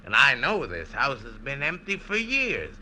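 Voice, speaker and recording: An actor playing a scruffy, simple beachcomber who stammers and rambles in rough grammar, in 1940s radio drama style. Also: male voice, medium pitch, huge pitch swings, a little slow, sharp tone, full character voice, poor audio. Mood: nervous, bewildered. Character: snaggle-toothed scruffy beachcomber, jittery and simple, male. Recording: old radio broadcast with background noise.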